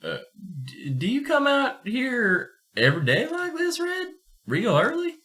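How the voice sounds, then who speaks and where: A man's voice: very high-pitched, sing-song, a little slow, bright, forceful tone, pitched above the performer's own voice, full character voice. A man voicing a naive, agreeable young boy who talks in a hesitant, folksy way, read as amateur radio play. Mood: wondering.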